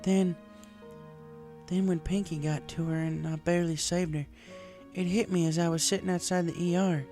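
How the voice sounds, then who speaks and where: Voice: medium pitch, flat delivery, normal speed, warm tone, faint music behind, pitched above the performer's own voice, natural voice. A performer voicing an earnest, troubled young female farm pony in a story reading. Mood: confessing, tender.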